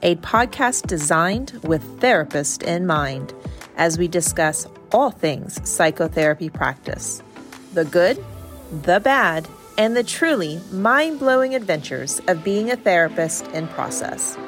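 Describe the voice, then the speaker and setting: A woman's voice: fairly low pitch, expressive. Woman reading a podcast intro over music. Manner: measured, deliberate reading. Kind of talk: scripted intro narration. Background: faint music.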